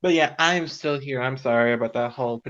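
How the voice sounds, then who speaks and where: A man's voice: medium pitch, a little fast, a bit bright. A man chatting casually as a podcast host over a computer call. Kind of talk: casual chat. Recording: dull lo-fi computer call audio.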